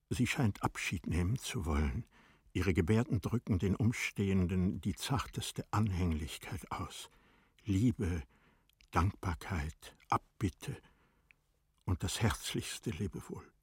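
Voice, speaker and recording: A man narrating a tragic classic story in a radio play, in a solemn, measured, dramatic manner. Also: on the low side, darkish tone, slightly rough, no room sound, clean studio sound, heavily acted. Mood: solemn, tender.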